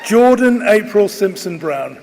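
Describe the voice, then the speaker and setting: A man formally announcing graduates' names at a ceremony, with measured, deliberate enunciation, in a large hall. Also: high voice, lively intonation. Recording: reverberant hall, loud crowd noise behind. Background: loud crowd noise.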